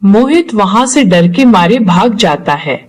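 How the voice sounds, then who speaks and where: A woman's voice: mid-range voice, expressive, a little slow, darkish tone, breathy edge. A woman narrator reading a scripted horror story in a storytelling style.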